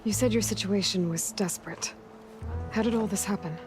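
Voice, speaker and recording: An actress playing a determined young huntress in a video game, speaking in a calm, plain, reassuring way. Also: female voice, medium pitch, a little fast, natural voice. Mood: guarded, steady. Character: steady, determined young female huntress, an outsider. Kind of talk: cinematic video game acting. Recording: music behind the voice.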